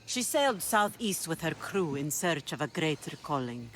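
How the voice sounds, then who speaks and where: A woman's voice: sits low, sing-song, moderate pace, a little hiss or hum. A woman playing a self-assured, wry pirate captain of ancient Greece in a video game. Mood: wry, businesslike. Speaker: game character. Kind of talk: video game cutscene acting.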